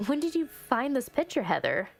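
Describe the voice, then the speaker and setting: A woman's voice: high-pitched, sing-song, moderate pace, vocal fry, soft background music, pitched above the performer's own voice, full character voice. A woman voicing an anxious, affectionate ghost girlfriend in a visual novel. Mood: curious, uneasy.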